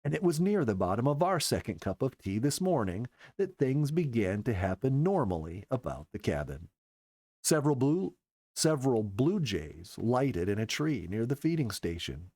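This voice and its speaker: A man reading a story as a scripted narration, in an expressive storyteller's manner. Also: medium pitch, moderate pace, no room sound. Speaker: storyteller, show regular.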